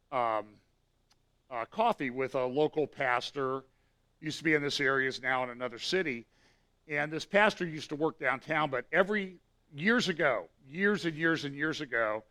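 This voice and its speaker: Raspy hoarse guy preaching at church, kinda bright edgy tone, talks loose with lots of ums. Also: fairly high pitch. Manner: conversational, with frequent fillers and restarts. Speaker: pastor giving weekly prophecy update. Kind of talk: church talk.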